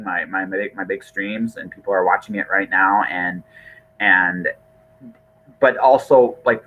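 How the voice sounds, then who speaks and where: A man's voice: fairly low pitch, bright, forceful tone, slightly rough, low-quality recording. A middle-aged man hosting a casual podcast conversation, earnest and rambling, often repeating his words. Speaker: podcast host.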